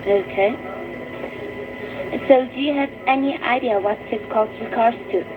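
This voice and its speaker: A woman's voice: fairly high pitch, a little slow. A woman working as a phone call agent, asking questions to check details. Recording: tinny, noisy phone line.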